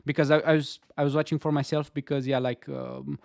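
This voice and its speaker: A man with a Romanian accent chats casually on a game-development stream. His voice is gravelly and dry, and he pauses often and restarts his sentences as he works through his points. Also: on the high side, little pitch movement, a little mellow, no room sound. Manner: hesitant, many fillers and restarts. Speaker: stream co-host. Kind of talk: casual chat. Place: Stockholm.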